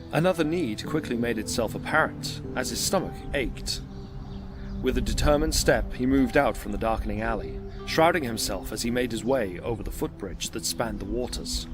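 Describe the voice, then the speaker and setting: Lowish male narrator over music. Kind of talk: scripted dramatic narration.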